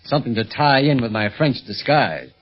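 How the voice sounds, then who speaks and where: Man as undercover secret agent, husky, brisk, in charge, vintage radio drama, muffled recording. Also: medium pitch, lively intonation, soft background music, close to an everyday voice. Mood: businesslike. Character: brisk secret agent posing as French tutor. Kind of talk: old-time radio serial acting.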